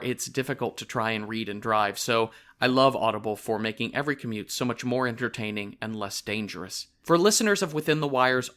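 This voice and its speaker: A man's voice: medium pitch, a bit bright. A man hosting a podcast, reading a scripted sponsor message in a casual, wry, conversational way.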